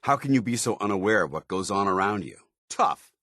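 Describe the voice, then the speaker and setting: An actor playing a stern, honorable military paladin in a video game, speaking with formal, clipped authority. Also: male voice, lowish voice, lively intonation, brisk, pushed and bright, dry recording, studio quality. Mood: exasperated, scolding. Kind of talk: video game companion dialogue.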